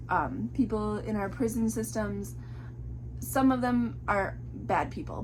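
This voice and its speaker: A woman's voice: mid-range voice, background noise. A young woman chatting casually in a book review vlog, rambling with many fillers, her voice a little off from a cold. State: fighting a cold.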